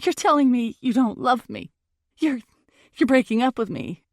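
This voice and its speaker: A woman's voice: medium pitch, expressive, unhurried, no echo. Woman acting heartbroken young woman, audiobook dialogue, emotional, insistent, emphatic, wounded anger, dry studio sound. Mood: hurt, furious. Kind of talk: first-person audiobook dialogue.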